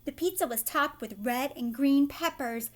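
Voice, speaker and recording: A woman reading a storybook aloud as a teacher, animated, with chatty asides straight to young listeners. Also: high voice, normal speed.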